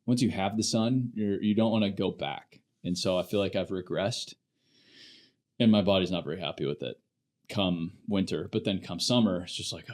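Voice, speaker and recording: A man hosting a podcast, chatting casually with quick, self-deprecating asides; he grew up in Phoenix. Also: low voice, darkish tone. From Phoenix, Arizona. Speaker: podcast host. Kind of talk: casual chat.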